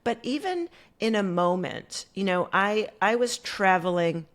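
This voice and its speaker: A middle-aged American woman telling a story as a guest on an interview show, animated and full of fillers. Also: medium pitch, a little slow. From United States. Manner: animated, many fillers like "like" and "you know". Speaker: guest coach.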